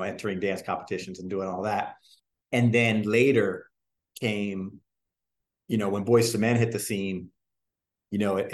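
A man in his forties from New Jersey, telling stories from his life in a relaxed, rambling interview way with many fillers. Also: fairly low pitch. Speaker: guest, singer and dancer. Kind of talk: casual interview storytelling. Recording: roomy echo.

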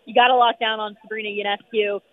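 A woman sports reporter calling in from an arena, upbeat and full of fillers, her voice going hoarse. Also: mid-range voice, level tone, a little hiss or hum. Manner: upbeat, rapid, many fillers. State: losing her voice. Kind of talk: phone-in sports radio chat. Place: noisy arena. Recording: tinny phone line.

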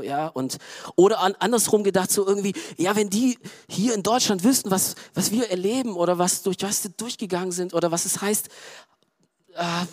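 Man, middle-aged, pastor, slightly high voice, loose conversational sermon, quiet asides. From Romania. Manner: conversational, frequent "ja" and "ähm" fillers.

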